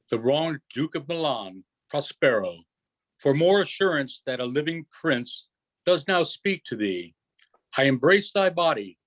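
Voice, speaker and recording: A man reading the part of a commanding, forgiving yet stern duke and magician in an unrehearsed Shakespeare play, in a measured, declamatory way. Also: medium pitch, low-quality recording. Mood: stately, welcoming.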